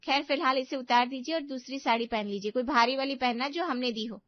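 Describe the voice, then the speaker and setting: A woman's voice: high voice, fairly quick, no room sound, dull audio, close to an everyday voice. A woman voicing a snooty, bossy young sister-in-law in a family story. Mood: haughty.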